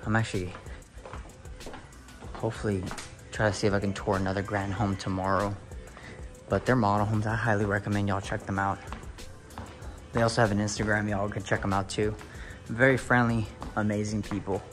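A young man filming a casual home tour on a handheld phone, talking to viewers with excited exclamations and lots of fillers. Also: on the low side, fairly quick, long pauses, music in the background. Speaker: real estate video host. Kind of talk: casual walkthrough narration. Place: inside a large empty house.

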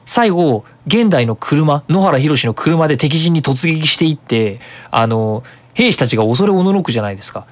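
A web radio host giving a casual, explanatory monologue in an animated manner. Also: mid-range voice, normal speed, hardly any pauses.

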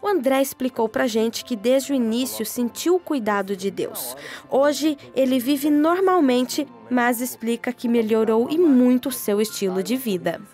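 A female reporter with a high voice reads scripted voice-over in a calm, measured way. Faint music plays under her narration.

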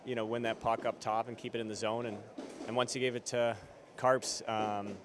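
A man's voice: mid-range voice, flat delivery, normal speed, music in the background. A man in his twenties, a hockey player, answering postgame questions casually with many fillers, into a handheld mic in an arena corridor. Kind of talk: postgame press interview. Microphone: handheld interviewer mic.

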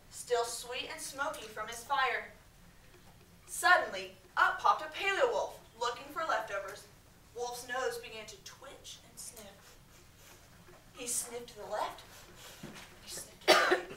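An American woman reads a story aloud in a high, projected schoolteacher voice. She is expressive and breaks off to question her pupils. She is picked up from across an echoey classroom with faint noise behind her. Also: measured pace, far from the mic. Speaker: elementary school teacher. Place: medium-sized school classroom, indoors. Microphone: far camera.

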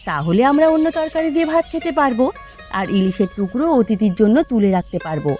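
A woman's voice: medium pitch, animated, fast talker, a little mellow, soft background music, lightly acted. A woman voicing a dutiful, considerate young village daughter-in-law in a Bengali cartoon. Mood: earnest.

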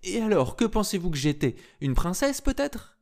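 Brief: male reader doing a snappy young maid, high and lively, faint music underneath. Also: normal speed. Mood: sharp. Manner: curt and teasing, high put-on voice. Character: sharp-tongued young woman, a chambermaid. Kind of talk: audiobook reading.

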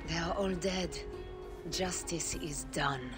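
An actress playing a resolute, assured warrior wife of ancient Egypt in a game cutscene, calm and firm. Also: female voice, low-pitched, slow, over music. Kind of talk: dramatic game cutscene acting.

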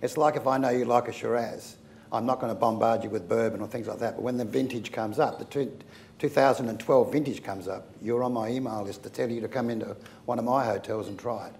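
A man's voice: medium pitch, fairly even intonation, brisk, creaky, darkish tone, a little hiss or hum. An older man, speaking on a panel and telling everyday anecdotes in a conversational way. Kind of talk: panel discussion. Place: event studio with branded backdrop. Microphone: lapel mic.

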